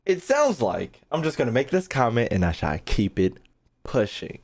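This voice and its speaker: A young man talks to his stream with lively, swinging intonation at a medium pitch. He is slangy and profane, often circling back over the same phrase while he thinks out loud. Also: moderate pace. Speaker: streamer reacting to game voice lines. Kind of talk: casual live-stream commentary.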